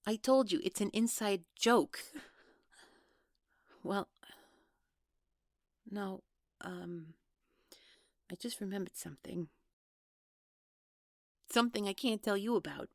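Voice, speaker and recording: A woman acting in an audio roleplay as a defensive, anxious young woman who talks in a casual, halting, exasperated way. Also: medium pitch, gravelly, no room sound, clean studio sound. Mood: evasive, anxious.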